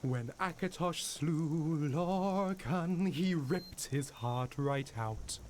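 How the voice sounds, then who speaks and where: A man's voice: on the high side, extremely slow, darkish tone. A man playing an adventurer who sings a mythic fantasy ballad in a video game, with noise behind him. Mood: solemn singing. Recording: clear background noise, game ambience.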